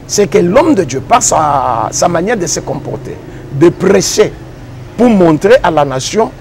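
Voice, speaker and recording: A man with a Congolese French accent, a pastor and engineer, answering interview questions in a measured way and repeating phrases as he defines ideas. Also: sits high, very animated, a little mellow, slight background noise. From Democratic Republic of the Congo.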